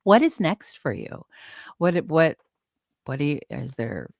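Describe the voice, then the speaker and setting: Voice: medium pitch, very animated, measured pace, creaky, no echo. Host with a dry, close sound. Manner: warm, gushing with praise, rambling questions. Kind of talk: casual interview chat. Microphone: video call.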